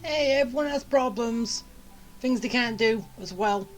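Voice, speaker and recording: A game streamer giving casual playthrough commentary in a halting way, repeating phrases. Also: mid-range voice. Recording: game music behind the voice.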